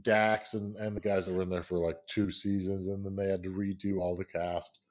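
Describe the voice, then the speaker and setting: Male podcast co-host, lowish voice, rambling, animated storyteller. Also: brisk. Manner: rambling, animated, jumps into high-pitched put-on quotes. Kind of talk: casual chat.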